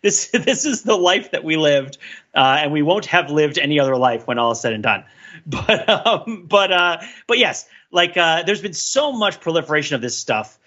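A man's voice: on the high side, big pitch swings, moderate pace, sharp tone, lo-fi sound. A man speaking as a podcast co-host in a casual pop-culture discussion, rambling and jokey, often repeating his phrases.

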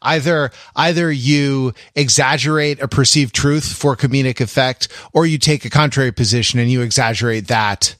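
A man co-hosting a podcast in casual discussion, speaking with halting restarts. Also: medium pitch, a bit bright, dry recording. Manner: quotes others with halting restarts. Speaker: podcast co-host. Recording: dry close-mic audio.

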